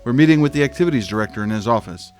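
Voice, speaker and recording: A man's voice: medium pitch, lively intonation, very fast, a little mellow, lightly acted. A male detective and dad acted by a man who narrates his own cases in a comic audio play. His mid-range voice is earnest and wordy, a little clueless, with faint music underneath. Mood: wry narration. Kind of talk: hardboiled-parody narration, comic audio drama.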